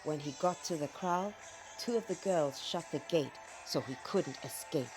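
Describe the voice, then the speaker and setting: A woman narrating a children's folk tale from a script in a dramatic, expressive storytelling manner. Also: low voice, unhurried, over music, poor audio.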